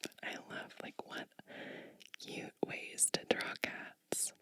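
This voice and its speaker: Slow whispering woman reading a storybook. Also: no echo. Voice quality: breathy unvoiced whisper. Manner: slow, gentle, lingering phrasing. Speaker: ASMR channel host reading a picture book.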